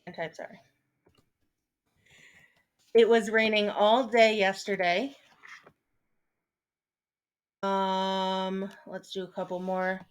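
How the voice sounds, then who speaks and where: Thirtysomething woman teaching a lesson, slow and chatty, lots of ums and little asides. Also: medium pitch, little pitch movement, clean tone. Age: 30s. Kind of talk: recorded online lesson. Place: at home, seated at a desk.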